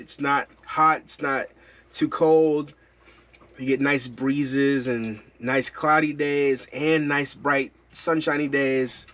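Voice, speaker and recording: A man's voice: mid-range voice, slightly rough. Easygoing man chatting in a van. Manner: relaxed, rambling, with frequent fillers. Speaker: van-life vlogger. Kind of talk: casual chat to camera. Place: inside a camper van.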